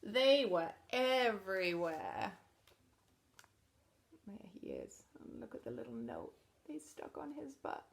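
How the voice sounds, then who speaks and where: A woman reading a picture book aloud to children in an expressive read-aloud manner, dropping to soft, chatty asides about the pictures. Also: mid-range voice, takes it slowly, pauses often, slight background noise. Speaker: storybook reader.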